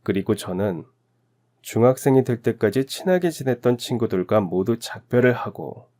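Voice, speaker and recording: A man from Daegu tells a first-person story in a calm, reflective, confessional way. His voice sits a little low, with a soft, warm and mellow tone. The reading is steady and scripted. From Daegu, South Korea. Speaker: story narrator.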